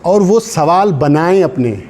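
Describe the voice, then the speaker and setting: Mellow, higher-pitched Pakistani male lecturer. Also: lively intonation, slow pace, a little hiss or hum. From Sindh, Pakistan. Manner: instructive, checks understanding with short tags; switches into English terms and phrases. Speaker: law exam coach. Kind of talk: informal classroom lecture with exam advice.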